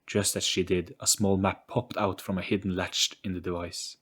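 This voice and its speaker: A man's voice: sits low, level tone, normal speed, a bit bright, husky. A man giving a scripted, dramatic narration of an audio story.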